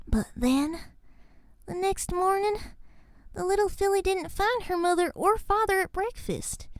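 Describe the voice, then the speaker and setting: A woman voicing a young filly pony who tells spooky stories in a slow, theatrical manner. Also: extremely high pitch, dry recording, studio quality. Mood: hushed, eerie. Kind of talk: dramatic ghost-story telling.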